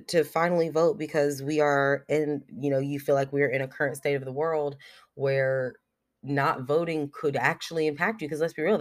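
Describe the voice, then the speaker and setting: Blunt podcaster with a cold. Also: mid-range voice. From United States. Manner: frank and blunt, swears freely, many fillers like "like" and "um". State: has a cold, sucking a cough drop. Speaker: podcast host. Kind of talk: casual solo podcast monologue.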